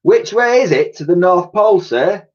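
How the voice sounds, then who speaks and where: A plucky little old soldier, voiced by a man reading a storybook, speaks in a raised pitch. He is earnest and melodramatic, and the sound is a bit dull. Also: animated, moderate pace, darkish tone. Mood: earnest, plaintive.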